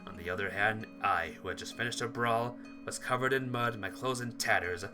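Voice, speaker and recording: A man's voice: on the low side, brisk. A man streaming a game, reading visual novel text aloud with casual asides and stumbling now and then as he reads. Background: soft plucked guitar music.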